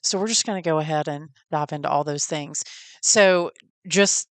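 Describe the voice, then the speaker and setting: Texan woman with a low, smooth voice. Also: normal speed, dry recording. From Texas. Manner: friendly, folksy, heartfelt. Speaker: podcast host. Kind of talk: personal storytelling.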